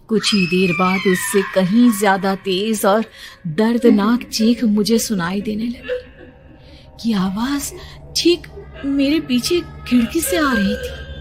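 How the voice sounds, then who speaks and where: A woman's voice: on the high side, lively intonation, slow pace. A woman narrating a scripted horror story in the first person, dramatic, suspenseful and emotive. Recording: music behind the voice. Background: music.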